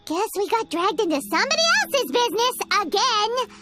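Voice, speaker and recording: A woman's voice: very high-pitched, expressive, normal speed, very noisy. A woman voicing a tiny floating female game companion who is chatty and blunt, refers to herself in the third person, and makes exasperated quips. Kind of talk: video game voice acting. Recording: game audio over loud music. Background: game background music.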